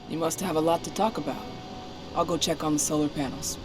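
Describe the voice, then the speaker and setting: A woman's voice: low-pitched, fairly even intonation, fairly quick, over music, close to an everyday voice. A woman playing a wry, brash activist leader and old friend in a video game cutscene, breezy and blunt. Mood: wry, friendly.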